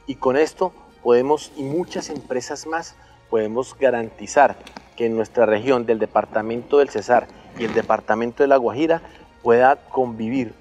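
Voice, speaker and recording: A middle-aged Colombian man briefs like a military commander. His pitch is average and his delivery is formal and declarative, with light music behind him. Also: a little mellow. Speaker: army brigade commander giving a briefing. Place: outdoors beside artillery guns at a military base.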